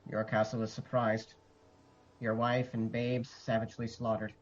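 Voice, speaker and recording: Male actor as a grave, sorrowing herald for classical radio tragedy; mid-pitched, measured verse, slightly muffled audio. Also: monotone, faint music behind, close to an everyday voice. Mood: heavy, reluctant. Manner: measured, solemn verse delivery. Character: Ross, sorrowful noble messenger bearing dreadful news. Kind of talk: Shakespearean radio drama acting.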